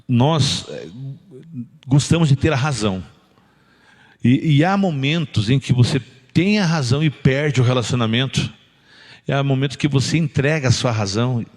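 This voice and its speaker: A middle-aged Brazilian man giving an informal sermon as a pastor, conversational and jocular, often tossing out rhetorical questions. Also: medium pitch. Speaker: pastor preaching. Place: church building, preaching to a congregation. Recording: long reverberant hall sound.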